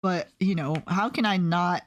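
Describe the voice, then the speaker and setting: A young woman streaming and chatting casually, telling rambling stories with lots of fillers and soft laughs. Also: on the low side, moderate pace. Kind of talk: casual chat while drawing on stream.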